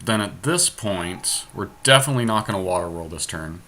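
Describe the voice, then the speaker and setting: A man with a slightly deep voice that has a bright, forward edge. He hosts a podcast and talks casually through a card game as he plays, weighing each option aloud. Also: lively intonation, fairly quick. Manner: thinking aloud, deliberating over options. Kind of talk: casual strategy commentary while playing a card game.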